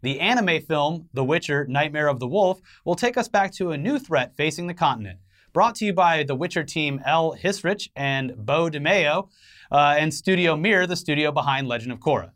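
A man's voice: a little high, lively intonation, normal speed, a bit bright. A man co-hosting an entertainment news show, giving conversational commentary with wry, joking asides.

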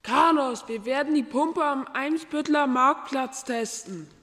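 A woman's voice: high voice, measured pace, fairly bright tone. A young schoolgirl playing a bossy male professor and inventor in a school radio play. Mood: commanding. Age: child or preteen. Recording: music behind the voice.

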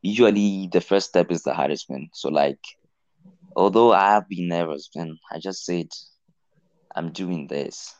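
A man's voice: deep voice, unhurried, darkish tone, no room sound. A young man speaking as a podcast guest, talking hesitantly with lots of "so, like" fillers. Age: young adult. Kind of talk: casual podcast chat. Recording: dull, dry lo-fi audio.

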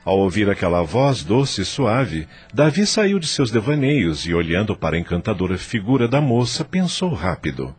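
Male narrator for radio drama: deep, husky, animated delivery. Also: moderate pace, few pauses. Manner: expressive storytelling.

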